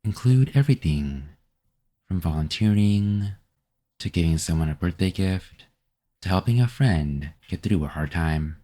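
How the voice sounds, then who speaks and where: A man with a very deep, soft-edged, mellow voice guides a meditation. He reads scripted instructions calmly and gently. His phrasing is measured, with pauses that leave room to follow along.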